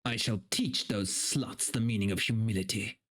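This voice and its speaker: A man's voice: lowish voice, steady tone, normal speed, fairly bright tone. Man acting a pompous, cruel noble ruler. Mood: haughty, threatening. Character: haughty, cruel nobleman ruler, contemptuous of peasants.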